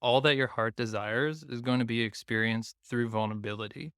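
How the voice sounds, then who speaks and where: Bright, smooth-voiced man from Taiwan. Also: mid-range voice, fairly even intonation, fairly quick, no echo, clean studio sound. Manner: reflective, searches for words, uses filler "like". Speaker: podcast guest. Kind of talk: casual conversation.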